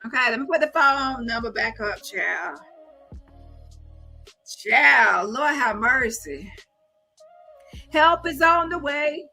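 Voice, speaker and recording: A woman with a bright, forceful voice hosts a casual gossip call-in, with music in the background. She speaks emphatically and bluntly in salty slang, circling back on phrases to drive them home. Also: on the high side. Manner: animated, emphatic, repeats phrases for effect. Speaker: host of a live call-in show.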